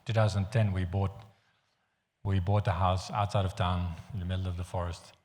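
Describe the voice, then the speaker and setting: Deep-voiced Dutch man preaching in a hall. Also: little pitch movement, a little mellow. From Netherlands. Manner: earnest, halting with restarts. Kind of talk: sermon. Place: church hall. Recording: long hall reverb.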